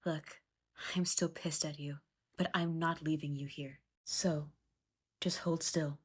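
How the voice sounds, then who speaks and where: A woman's voice: low-pitched, fairly even intonation, slow. A woman voicing a fiercely caring, angry female friend in an audio drama. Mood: hurt, resolute.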